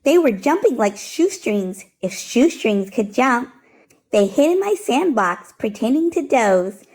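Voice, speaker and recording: A woman hosting storytime, reading a rhyming picture book aloud in an expressive, rhythmic, sing-song way. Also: on the high side, a little slow.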